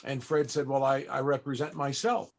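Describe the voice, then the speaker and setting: A man hosting a panel, telling a personal story in a halting, reflective and emotional way. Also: medium pitch, little pitch movement, a little hiss or hum. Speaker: panel host and entertainer. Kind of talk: storytelling at a live interview panel.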